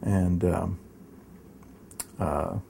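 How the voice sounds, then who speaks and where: A man's voice: low-pitched, steady tone, extremely slow, creaky, mellow, breathy edge. A man in his sixties raised in Southern California, telling his life story in an oral history interview with many hesitations and wry asides. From United States, raised in Southern California. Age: 60s. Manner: rambling, hesitant with many fillers and restarts; wry, self-mocking humor.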